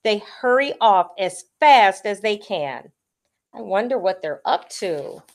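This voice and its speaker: A woman reading a children's picture book aloud as a storyteller, with expressive delivery. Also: medium pitch, slow pace, slight background noise.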